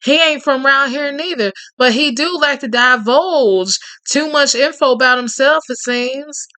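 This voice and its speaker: A woman voicing a confident, flirtatious Cajun man from the South, with a husky drawl and a quietly threatening edge. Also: sits high, measured pace, dry recording. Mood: chatty.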